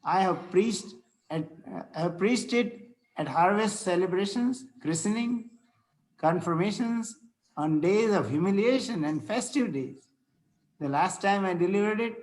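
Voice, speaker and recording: A man playing a courteous, solemn Victorian clergyman in a play read-through, speaking formally and a little haltingly. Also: sits high, rough recording. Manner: formal, preachy, halting as he reads. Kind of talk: amateur play table read.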